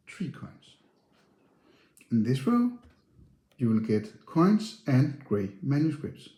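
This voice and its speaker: Echoey, mellow man explaining game rules. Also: medium pitch. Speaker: board game presenter. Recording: roomy, echoey sound.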